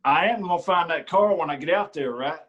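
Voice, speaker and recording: A man playing a rural lawman in a staged reading, needling others with slow, threatening questions. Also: fairly high pitch, a bit bright, highs cut off, close to an everyday voice. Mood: menacing. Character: menacing man who is the local law.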